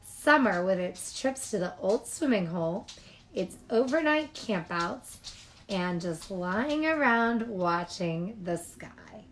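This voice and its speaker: A woman reading a picture book aloud to young children in an animated, expressive way, with casual asides. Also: mid-range voice, takes it slowly, rough recording.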